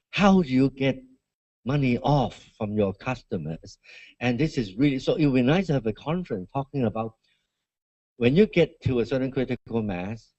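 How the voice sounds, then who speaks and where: Mellow guy giving haltingly worded feedback at a conference, dry sound. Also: medium pitch, no echo. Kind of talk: halting unscripted comment. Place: conference hall. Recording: dull, dry event recording.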